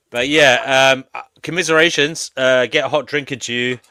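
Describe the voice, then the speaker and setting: A man's voice: medium pitch, fairly even intonation, unhurried, pushed and bright, dry recording. A man hosting a live panel stream, chatting casually and informally with guests.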